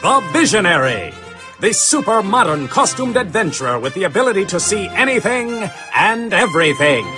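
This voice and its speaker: High, bombastic retro serial announcer. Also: male voice, sing-song, moderate pace, over music. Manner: theatrical, bombastic. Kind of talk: old-time adventure serial announcing.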